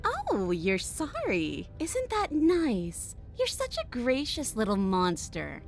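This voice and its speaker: A woman's voice: a little high, wildly swooping pitch, takes it slowly, soft background music, heavily acted. A woman playing a proud, bitter, menacing captive princess in a video game, sarcastic and taunting. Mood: mocking. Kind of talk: video game character acting.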